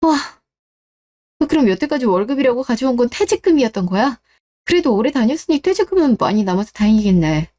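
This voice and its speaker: Radio drama role: woman as a stern, reproachful wife, cool-headed and unforgiving, slightly high pitch, very mellow timbre. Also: very animated, brisk. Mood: shocked, questioning. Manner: cold, interrogating, reproachful. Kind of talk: radio-drama story dialogue.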